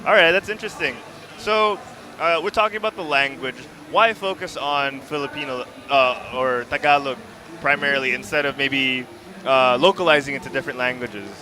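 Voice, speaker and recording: A man's voice: medium pitch, normal speed, background noise. A man hosting a convention interview, upbeat and affirming, asking leading questions. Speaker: host interviewing game developers.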